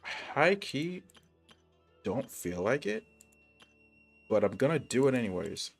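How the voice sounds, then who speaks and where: A man's voice: medium pitch, sing-song, measured pace, vocal fry, soft background music. A man live streaming a game, chatting casually in a rambling, slangy and hesitant way. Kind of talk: casual gaming livestream chat.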